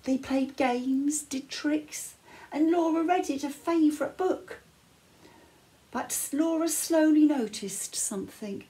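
An older woman reading a children's bedtime story aloud with measured, dramatic phrasing and pauses. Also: high-pitched, mellow, rough recording. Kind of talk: expressive picture-book read-aloud. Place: small living room, indoors.

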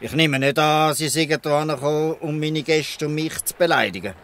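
A man's voice: fairly high pitch, moderate pace, fairly bright tone, lightly acted. Man acting proud old Swiss German fisherman. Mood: indignant. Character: proud, protective old free fisherman, fatherly. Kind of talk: dialect radio play acting.

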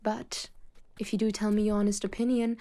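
A woman playing a stern but softening mafia boss in an intimate ASMR roleplay, speaking in measured phrases with pauses, often nearly whispering. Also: mid-range voice, little pitch movement, close to an everyday voice. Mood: calm, reassuring.

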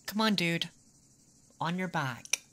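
Slow, teasing tomboy played by a woman. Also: on the low side, lively intonation, fairly bright tone, a little hiss or hum. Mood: flirty, bossy. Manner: teasing, drawling, slipping into whispers. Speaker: ASMR roleplay performer. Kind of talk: ASMR roleplay, intimate second-person acting.

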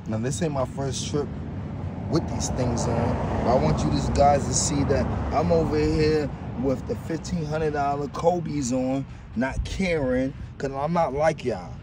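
A man's voice: mid-range voice, measured pace, heavy background noise. A man, around his thirties, talking casually to viewers in a boastful, emphatic vlog style, often repeating his phrases. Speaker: vlogger, product reviewer.

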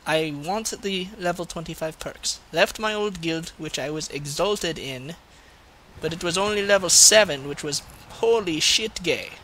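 A man's voice: fairly high pitch, measured pace, bright, forceful tone, slight background noise, low-quality recording. A man putting on a Russian accent as a crude, boastful, grumbling gamer, giving comic gameplay commentary in broken English. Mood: annoyed. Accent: put-on Russian. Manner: crude, swearing, mock-menacing, broken English.

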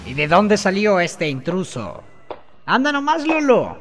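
A man's voice: sits high, wildly swooping pitch, a little slow, faint music behind, full character voice. A man playing a sarcastic, scolding and mocking character in an educational radio play. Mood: mocking, goading. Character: scornful, mocking man, harsh scolder and tempter. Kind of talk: educational radio soap acting.

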